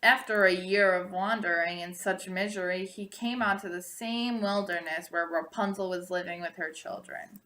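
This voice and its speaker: A woman narrating a storybook aloud, expressively and deliberately, drawing out the ends of phrases. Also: on the low side, fairly bright tone. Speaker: storybook reader.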